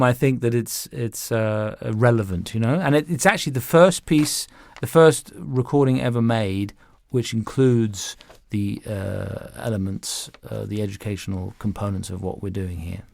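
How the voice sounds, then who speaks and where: A man answering interview questions as a music director, conversational, with halting phrasing and repeated words. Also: mid-range voice, creaky, no echo.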